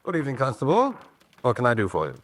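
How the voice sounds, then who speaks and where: An actor playing a shrewd, weary gentleman investigator in an old-fashioned radio drama, speaking in a clipped, brusque way. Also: mid-range voice, huge pitch swings, quick pace, no echo. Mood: polite, guarded.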